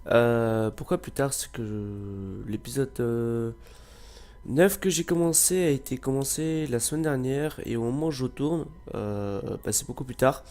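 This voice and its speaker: A man's voice: medium pitch, a little slow, a little mellow. Man with a cold, rambling through gameplay. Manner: rambling, hesitant, many filler sounds. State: has a cold. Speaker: gameplay video creator. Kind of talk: casual gameplay commentary.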